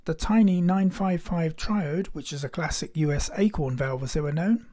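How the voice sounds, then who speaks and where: Guy reading out a narration for a how-to demo, voice a bit on the high side. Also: normal speed, dark tone. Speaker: narrator and electronics hobbyist. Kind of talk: scripted narration of a radio-building demonstration.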